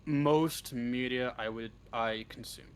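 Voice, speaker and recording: A man with a medium-pitched voice answers interview questions in a casual way. He is halting and a little self-deprecating, and he swears offhandedly. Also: smooth. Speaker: interview guest, script writer. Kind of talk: casual chat.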